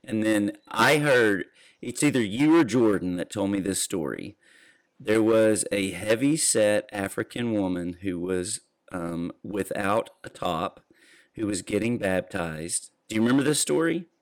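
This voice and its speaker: A man in his forties hosting a podcast, asking questions and telling rambling, animated stories. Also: on the low side, a little slow. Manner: rambling, animated storytelling with laughs. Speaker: podcast host. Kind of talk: casual interview.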